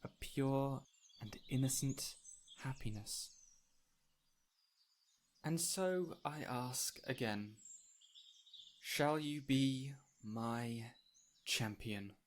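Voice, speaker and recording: Birdsong and forest ambience surround a fey spirit acted by a man in an intimate audio roleplay. He is a gentle, whimsical fellow of medium pitch, joyful yet wistful. His phrasing is courteous, old-fashioned and theatrical, addressed directly to the listener. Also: extremely slow, pauses often. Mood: earnest, solemn. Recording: clear ambient noise with birdsong.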